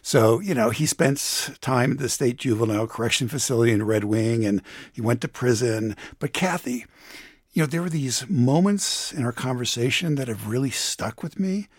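A man's voice: medium pitch, moderate pace, husky, dry recording, studio quality. An American man giving a conversational radio report as a contributor, telling a story with emphasis. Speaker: economics contributor.

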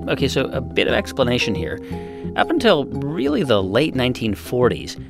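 A male podcast host narrating and explaining in a lively storytelling manner. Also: mid-range voice, moderate pace, vocal fry, over music. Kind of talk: scripted narration and interview.

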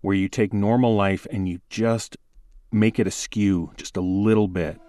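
A man speaking as an interview guest, explaining his ideas in a measured, thoughtful, conversational way. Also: lowish voice, fairly even intonation, dark tone. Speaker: horror podcast host, interview guest.

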